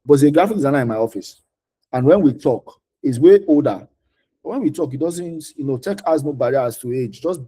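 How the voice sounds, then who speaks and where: A man's voice: mid-range voice, mellow, slightly rough, rough recording. A man with a Nigerian accent giving informal career advice in a mentoring session, repeating key points for emphasis. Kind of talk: informal advice talk answering audience questions.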